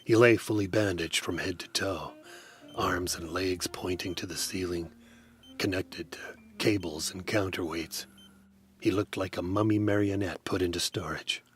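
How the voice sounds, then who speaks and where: A man narrating in hard-boiled first person as a detective, with a dry, wry, deadpan delivery. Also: deep voice, a little slow, slight background noise.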